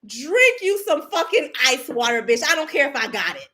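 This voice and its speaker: A woman's voice: high voice, big pitch swings, normal speed, pushed and bright. A woman giving a casual psychic tarot reading, brash and profane, with a sassy, emphatic way of talking. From Haitian family. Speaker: psychic reader.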